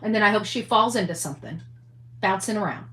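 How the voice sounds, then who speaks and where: Echoey, bright-voiced woman ranting. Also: medium pitch, animated, fast talker. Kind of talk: casual spoken rant. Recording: echoey room sound.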